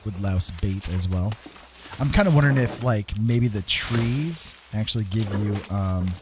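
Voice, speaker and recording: A man's voice: on the low side, a little slow, background noise. A man giving casual gameplay commentary, thinking aloud and often tacking little questions onto his sentences. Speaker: game streamer.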